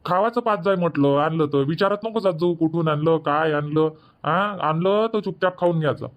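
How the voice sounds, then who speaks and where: A man's voice: fairly high pitch, normal speed, lightly acted. A man voicing an evasive village farmer husband in a dubbed cartoon comedy, grumbling and bossy.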